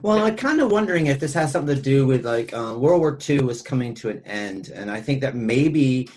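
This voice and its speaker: A man's voice: medium pitch, animated, fairly quick, a little mellow. A man hosting a podcast in a casual, conversational discussion style. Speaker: podcast host.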